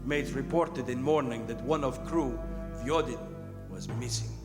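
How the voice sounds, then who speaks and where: A man's voice: mid-range voice, unhurried, close to an everyday voice. A man playing a grave, weary ship's captain, reading log entries in clipped phrases in a radio drama. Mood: solemn, measured. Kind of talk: old-time radio drama acting. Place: performing arts theatre stage. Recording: long hall reverb, loud music behind.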